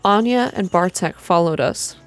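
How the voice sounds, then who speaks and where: A woman reading a story aloud in a soft-spoken, measured, atmospheric ASMR style. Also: on the low side, soft background music. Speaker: reader of a video game story.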